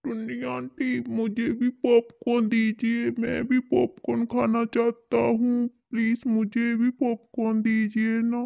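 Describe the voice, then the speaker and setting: Boy sparrow, woman performer: childlike, pleading, even-toned, gravelly edge, muffled sound. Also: a little high, measured pace, few pauses, no echo. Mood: eager, grateful. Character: polite, eager young boy sparrow. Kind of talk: children's cartoon story acting.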